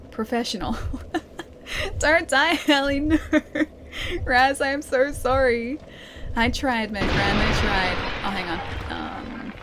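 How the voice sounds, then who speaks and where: A woman with an Australian accent chatting casually while she plays a video game, giggling and joking at herself. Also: a little high. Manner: chatty, giggly, self-mocking. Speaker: streamer. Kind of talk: casual gameplay commentary. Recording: noisy game stream audio.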